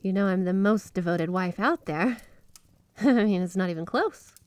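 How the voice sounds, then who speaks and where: A woman playing a flirty, obsessively devoted yandere wife in an intimate ASMR roleplay, gushing straight to the listener with drawn-out emphasis. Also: mid-range voice, dry recording, natural voice. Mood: smug, playful. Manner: gushing, breathy giggles, drawn-out emphasis on words.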